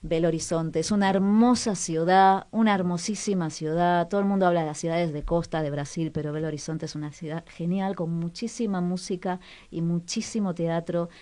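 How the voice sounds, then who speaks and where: A young Argentine woman with a fairly low voice co-hosts a relaxed radio chat. She speaks in a musing way and pauses to search for the right word. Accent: Argentine Spanish. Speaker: radio show co-host.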